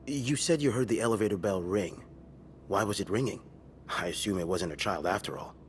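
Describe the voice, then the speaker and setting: An actor plays a probing police detective with a deep voice. He questions briskly and impatiently, pressing on every detail. A faint noise sits behind him. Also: in a large hall, close to an everyday voice. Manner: brisk, interrogating. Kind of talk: video game voice acting.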